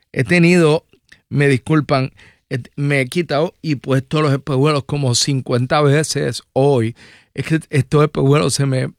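A man's voice: medium pitch, creaky, dry recording, studio quality. A man with a Puerto Rican accent hosting a radio talk show, conversational with rambling personal asides. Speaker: radio host. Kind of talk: radio news and interview talk.

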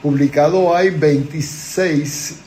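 A man's voice: on the high side, fairly even intonation, slow, a little mellow, slight background noise. Older Puerto Rican man telling his story. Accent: Puerto Rican Spanish. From Puerto Rico. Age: 70s. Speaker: guest, motivational speaker and author. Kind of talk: casual interview conversation.

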